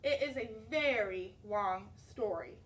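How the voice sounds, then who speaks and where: A woman's voice: mid-range voice, slow pace, far from the mic, pitched below the performer's own voice, close to an everyday voice. A woman voicing a small, very old librarian in a storybook, easily frightened, trembling and proper. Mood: hesitant.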